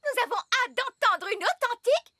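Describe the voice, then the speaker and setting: A woman voicing a haughty, gushing visiting queen in a cartoon, with an affected, drawn-out delivery. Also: extremely high pitch, animated, bright, forceful tone, no room sound, clean studio sound, exaggerated voice. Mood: gushing, grand. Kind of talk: French cartoon dub acting. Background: music.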